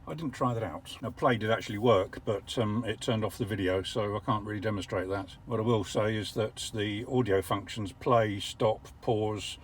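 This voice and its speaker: An older English man explaining how an electric car works from the driver's seat, measured and a little wry. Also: on the low side, fairly even intonation, slight background noise. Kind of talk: casual vlog commentary. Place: parked car.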